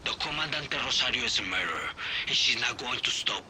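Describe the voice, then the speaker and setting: An actor playing an earnest rebel elder in a video game. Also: male voice, medium pitch, animated, brisk, bright, forceful tone, a little hiss or hum. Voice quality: earnest, grave.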